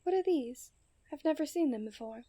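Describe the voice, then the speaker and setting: Woman acting a mellow, genteel fairytale princess. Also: high-pitched, animated, moderate pace, no room sound. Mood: tender, soft. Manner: formal, genteel fairytale phrasing with breathy sighs. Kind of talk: scripted romantic ASMR roleplay.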